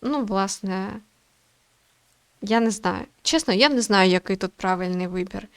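A woman's voice: medium pitch, a little slow, smooth. A woman playing a visual novel, giving casual, thinking-aloud commentary as a game streamer. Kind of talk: casual let's-play commentary.

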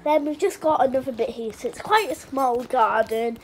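A woman's voice: sits high, normal speed. A girl giving a hesitant, rambling house tour on a phone held at arm's length, with lots of ums. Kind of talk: casual home vlog. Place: suburban house, indoors and garden. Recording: noisy phone recording at home.

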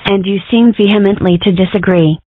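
A skeptical young cartoon fox girl played by a woman, wry and deadpan, in a medium-pitched voice. It sounds close and dry, squeezed into a narrow phone-like band. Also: a little fast, no room sound, lightly acted. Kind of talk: scripted comic-strip dub. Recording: dry, tinny phone-like audio.

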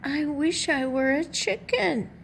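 A woman voicing a big, gentle baby chick in a storybook, with a mild, unhurried manner. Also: sits high, creaky, slight background noise, pitched above the performer's own voice, exaggerated voice. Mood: wistful.

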